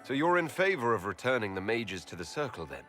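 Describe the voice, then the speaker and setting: A man's voice: mid-range voice, very animated, fairly quick, fairly bright tone. A man playing a guarded, direct fantasy hero in a video game, questioning in a formal manner. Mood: guarded, inquiring. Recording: music under the voice.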